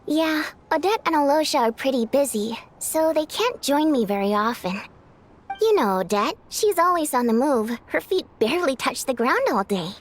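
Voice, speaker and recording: A woman's voice: high voice, animated, moderate pace, slight background noise. A woman playing a capable, matter-of-fact young station manager in a video game, composed and assured. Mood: composed, determined. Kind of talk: animated video game voice acting. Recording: faint music behind the voice.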